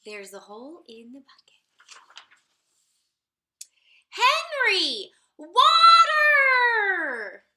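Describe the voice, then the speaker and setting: Very bright, animated woman reading aloud. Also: very high voice, drawn-out words, long pauses. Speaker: storyteller reading a picture book aloud. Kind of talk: expressive sing-song children's read-aloud. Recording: roomy, echoey sound.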